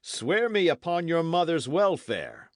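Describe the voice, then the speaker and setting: A man voicing a forceful, boastful knight of old England in a storybook, with archaic, declamatory speech full of oaths. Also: mid-range voice, big pitch swings, unhurried, no room sound, studio quality, pitched above the performer's own voice. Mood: brusque, commanding. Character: forceful, vengeful old-time English knight, boastful and commanding.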